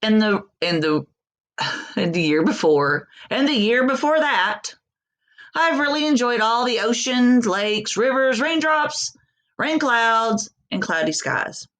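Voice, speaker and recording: A woman's voice: on the high side, expressive, slow, a bit bright, pitched above the performer's own voice. A woman voicing a weary, stubby little crayon in a storybook, politely complaining and tired.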